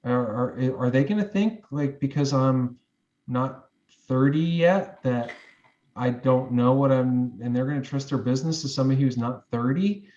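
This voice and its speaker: A man's voice: mid-range voice, moderate pace, darkish tone, highs cut off. A man in his forties, a guest giving advice in a reflective interview, talking in loose, rambling sentences with many fillers and restarts. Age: 40s.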